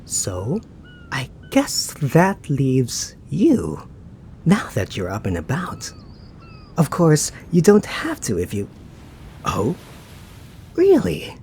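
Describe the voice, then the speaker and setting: A man playing a suave, teasing, laid-back young knight in an ASMR roleplay, talking to the listener in an unhurried, musing way. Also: a little high, huge pitch swings, a little mellow, a little hiss or hum, full character voice. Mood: soft, coaxing.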